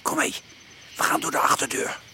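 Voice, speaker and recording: An actor playing a brash, impatient burglar in a Dutch radio play, gruff and scolding, with coarse slangy talk. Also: male voice, a little fast, sharp tone. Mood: scornful, urging. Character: bossy, brash, impatient burglar leading a break-in. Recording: noisy old radio play recording.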